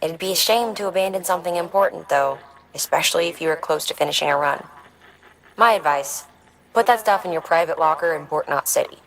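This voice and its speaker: Woman acting calm female advisor, deep voice, measured, dryly practical, video game dialogue. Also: close to an everyday voice, poor audio. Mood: dry, advising.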